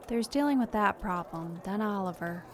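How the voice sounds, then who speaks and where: An actress playing a candid, supportive friend in an audio drama, with a frank, conversational delivery. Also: female voice, mid-range voice, expressive, unhurried, faint music behind, lightly acted. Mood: casual, weary. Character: candid, supportive female friend working in law enforcement.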